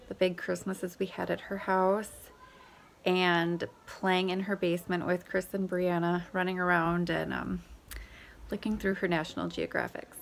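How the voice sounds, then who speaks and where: A woman quietly recalling childhood memories of her grandmother. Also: on the low side. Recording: faint background noise.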